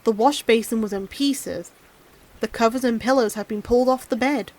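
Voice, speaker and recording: A high-pitched woman narrates a fairy tale. She reads expressively, in the manner of a storybook audiobook. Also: normal speed, darkish tone.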